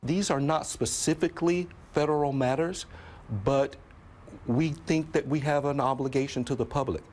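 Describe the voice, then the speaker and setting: A man speaking as a federal law enforcement official in a news interview, deliberate and formal. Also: fairly high pitch, steady tone, darkish tone. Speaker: FBI official. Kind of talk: interview soundbite.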